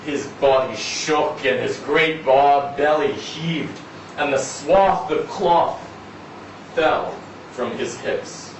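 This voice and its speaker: A man giving a dramatic live reading of a short story, with measured, deliberate phrasing and pauses. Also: fairly high pitch. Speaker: story reader at a live literary event. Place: indoor event venue before a live audience. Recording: echoey room, distant mic, noisy background.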